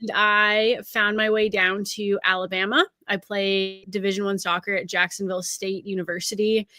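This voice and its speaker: A woman's voice: medium pitch, flat delivery, normal speed, pushed and bright, dry recording. A woman in her thirties from Canada, a college recruiting scout chatting as an interview guest in an upbeat way with lots of fillers. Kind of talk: casual interview conversation.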